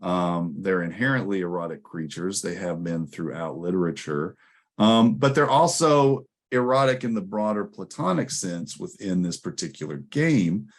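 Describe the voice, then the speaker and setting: A man with a low-pitched voice delivers an academic conference talk. He explains ideas in a measured, scholarly manner, adding brief asides and tag questions as he goes. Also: lively intonation. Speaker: academic presenter. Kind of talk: conference presentation, explanatory lecture.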